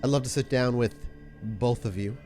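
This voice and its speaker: A man voicing a shrewd, suspicious female vampire gang leader who bargains frankly and haltingly in a tabletop game. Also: medium pitch, mellow, soft background music, natural voice. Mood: wary, bargaining.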